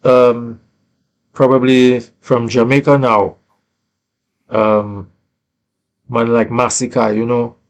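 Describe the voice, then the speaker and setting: A man's voice: medium pitch, little pitch movement, slow pace, long pauses, poor audio. A man with a Saint Lucian accent, a musician talking casually in an interview in Caribbean creole-flavoured English, often tagging phrases with "you know".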